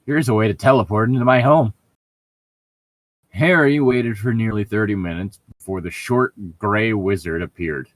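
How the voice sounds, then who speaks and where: A man's voice: on the low side, normal speed, dry recording. A man co-hosting a casual read-aloud of fan fiction, breaking in with irreverent, sweary, joking commentary.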